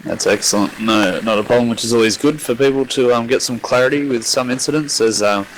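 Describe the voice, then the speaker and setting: A man's voice: mid-range voice, fairly quick, slight background noise, poor audio. An Australian man co-hosting an online racing broadcast, chatting casually with lots of fillers on a headset mic. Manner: chatty, rambling, filler-heavy. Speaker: co-host.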